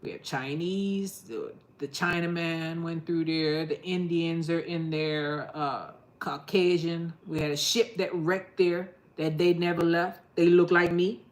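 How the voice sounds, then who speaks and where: A woman's voice: fairly low pitch, fairly even intonation, measured pace, few pauses. Middle-aged lady vlogging about her plants, chatty and rambly, keeps laughing. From New York. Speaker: gardening vlogger. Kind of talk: casual chat to camera.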